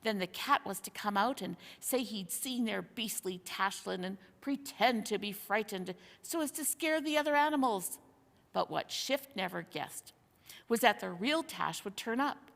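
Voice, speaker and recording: A woman's voice: mid-range voice, lively intonation, a little slow, creaky, fairly bright tone. A woman voicing a brash, eager and scornful schoolboy in a storybook, blurting things out emphatically. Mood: eager, excited. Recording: long hall-like reverb.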